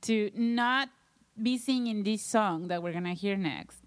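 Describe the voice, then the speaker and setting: A woman's voice: medium pitch, takes it slowly. A woman co-hosting a casual chat show in a playful, teasing manner. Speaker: co-host.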